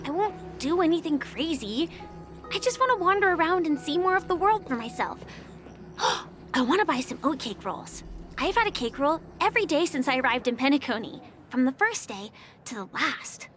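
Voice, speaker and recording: Earnest young game heroine: high, soft, polite, quietly resolute, music behind. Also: female voice, big pitch swings, normal speed. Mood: gentle, bright. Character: gentle, earnest young woman, quietly determined dreamer. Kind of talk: video game voice acting. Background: background music.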